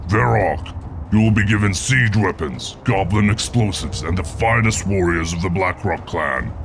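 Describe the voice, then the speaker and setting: Deep, commanding orc war chief. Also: male voice, measured pace, noisy background. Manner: imperious, giving orders. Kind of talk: video game cutscene acting. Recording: music under the voice.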